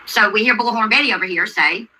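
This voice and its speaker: A woman's voice: medium pitch, quick pace, sharp tone, a little hiss or hum, rough recording. A woman hosting a livestream, commenting on a video and answering chat in an insistent way, repeating herself and asking questions. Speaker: livestream host.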